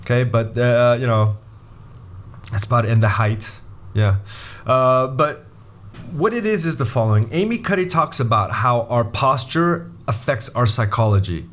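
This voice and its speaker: A young man from Brazil giving a casual video lesson as an MBA admissions coach, animated and jokey, often restarting his sentences. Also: medium pitch, normal speed.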